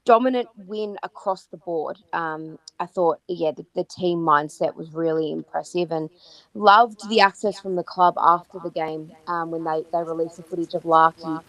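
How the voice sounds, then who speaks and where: An Australian woman co-hosting a podcast, her voice croaky from yelling, chatting enthusiastically with frequent ums and restarts. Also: on the low side, fairly even intonation, moderate pace, dry recording, low-quality recording. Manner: enthusiastic, many fillers and restarts. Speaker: podcast co-host. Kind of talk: casual chat about football.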